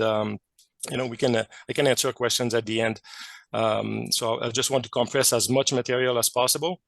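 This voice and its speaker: Gravelly French Canadian man presenting. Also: medium pitch, a bit bright, no room sound. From Quebec, Canada. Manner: hesitant, many fillers and restarts. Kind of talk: technical presentation.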